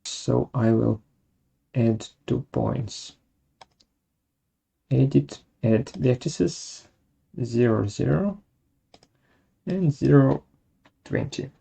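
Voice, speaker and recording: A software webinar presenter narrating a tutorial step by step in a measured way, halting between steps. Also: medium pitch, creaky, breathy edge.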